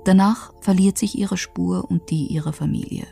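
Woman narrator for a history radio feature, lowish husky voice, factual and measured, faint music behind. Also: fairly even intonation, warm tone. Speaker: documentary narrator.

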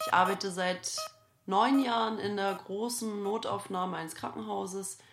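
A woman's voice: medium pitch, unhurried. A woman in her late thirties giving a spoken self-introduction. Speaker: emergency department worker. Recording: roomy echo.

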